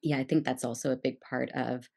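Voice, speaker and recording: A woman's voice: rumbling low, steady tone, a little fast, vocal fry, darkish tone. A woman in her thirties with a Canadian accent, talking reflectively about herself as a podcast guest in a thoughtful, hesitant, rambling way. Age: 30s. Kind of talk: reflective personal conversation.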